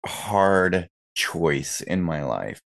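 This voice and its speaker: A man with a fairly low voice talks as one of a podcast panel. The manner is earnest and informal, in loose everyday conversation. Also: very animated, extremely slow, vocal fry. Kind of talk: casual chat.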